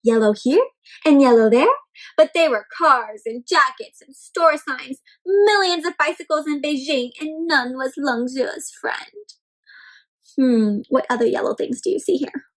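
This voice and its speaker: A woman reading a picture book aloud to young children, expressive and full of exclamations and questions to her listeners. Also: high-pitched, measured pace, rough recording. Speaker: children's read-aloud storyteller.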